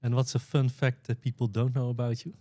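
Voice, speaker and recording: A man's voice: mid-range voice, level tone, brisk, warm tone, dry recording, clean studio sound. A man hosting a podcast, friendly, laughing often and giving short affirmations. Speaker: podcast host.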